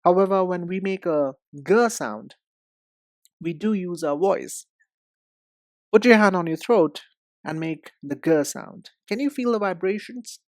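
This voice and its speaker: A woman giving an instructional pronunciation lesson, speaking deliberately and enunciating with emphasis and pauses. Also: on the low side, lively intonation, dry recording, studio quality. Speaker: English pronunciation teacher. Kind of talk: instructional lesson, demonstrating sounds.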